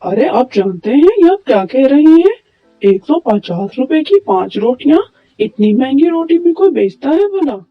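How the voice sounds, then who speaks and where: A man's voice: very high voice, big pitch swings, moderate pace, full character voice. A man playing an indignant villager in a cartoon story, with an emphatic, complaining way of talking. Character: indignant male villager complaining about bread prices. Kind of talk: Urdu moral cartoon story dialogue. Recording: dull lo-fi sound, music bed.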